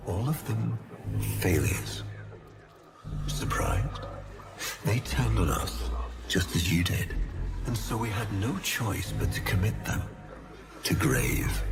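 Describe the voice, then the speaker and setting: Haughty bitter game villain, really low voice, theatrical and menacing, loud music under it. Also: male voice, sing-song, takes it slowly, gravelly, pauses often, full character voice. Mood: bitter, grave. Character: haughty, bitter male villain, a vengeful aristocratic schemer. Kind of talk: dramatic game villain monologue.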